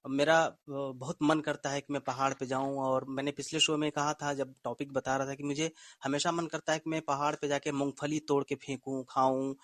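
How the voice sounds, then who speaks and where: A man's voice: mid-range voice, level tone, normal speed, hardly any pauses, fairly bright tone, no room sound, clean studio sound. A man, a story writer and co-host, chatting in an effusive, courteous and poetic manner. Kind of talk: casual, appreciative show conversation.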